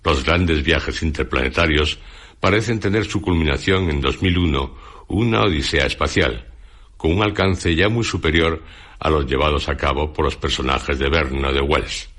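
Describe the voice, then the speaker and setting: A man with a Castilian Spanish accent reading a scripted radio documentary narration in a measured, formal, literary way. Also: rumbling low, a bit bright.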